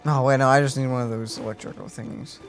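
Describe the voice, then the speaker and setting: A man's voice: mid-range voice, quick pace, vocal fry, faint music behind. Joking man playing a game on stream. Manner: relaxed, joking, chatty. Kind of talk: casual reaction chat.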